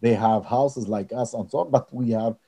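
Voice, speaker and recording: A middle-aged or older man from Israel with a Middle Eastern accent, a non-native English speaker answering interview questions earnestly and haltingly. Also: medium pitch, warm tone, slightly rough. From Nazareth, Israel. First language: not English. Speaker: interview guest, director of a peace organization.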